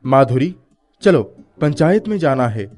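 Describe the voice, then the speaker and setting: A man's voice: mid-range voice, big pitch swings, a little slow, a little mellow. A man playing a humble, kind young herdsman who speaks earnestly in a story. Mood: respectful, earnest.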